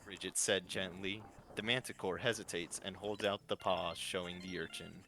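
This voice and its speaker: A man's voice: low-pitched, fairly even intonation, normal speed, creaky, pushed and bright. A man narrating a fan-written story live, reading from a script with small stumbles and repeats.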